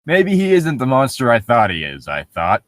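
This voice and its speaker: A man's voice: mid-range voice, huge pitch swings, moderate pace, dry recording, studio quality. A man reading a story aloud mockingly, with casual, sarcastic joking and some swearing. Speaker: co-host of a comedic story reading.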